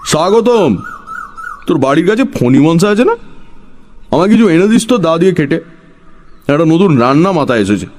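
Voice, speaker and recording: Man acting brusque, high-pitched village know-it-all. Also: big pitch swings, moderate pace, long pauses, a little mellow. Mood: brusque, offhand. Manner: scolding, self-assured, colloquial. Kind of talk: dramatized audio storytelling.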